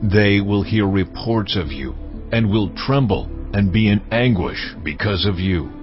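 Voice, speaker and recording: Almighty God, low-voiced, solemn and commanding, audio drama, muffled sound with music behind. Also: male voice, animated, unhurried. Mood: commanding, forceful. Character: God, commanding deity addressing his people. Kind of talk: dramatized scripture reading.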